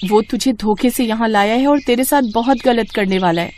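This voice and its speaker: Woman acting a high-voiced, bitter, knowing abandoned wife. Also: moderate pace, a little mellow, slight background noise, lightly acted. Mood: knowing, urgent. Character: knowing, bitter abandoned wife, worldly older-sister type. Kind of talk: melodramatic story dialogue.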